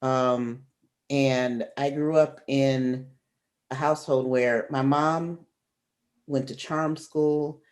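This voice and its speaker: A woman's voice: very deep voice, steady tone, takes it slowly, a little mellow. A woman from Chicago, a guest on a podcast, telling stories about her upbringing in careful, proper speech. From Chicago, US Midwest. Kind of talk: casual chat.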